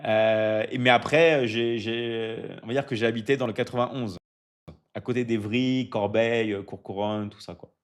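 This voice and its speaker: A man runs a business coaching live stream in a casual, hyped-up way. He repeats his points again and again, leans on fillers, and keeps turning to his viewers for agreement. His voice sits at an ordinary middle pitch for a man. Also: steady tone, normal speed, smooth. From France. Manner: repetitive, hyping, filler-heavy, keeps checking in with viewers.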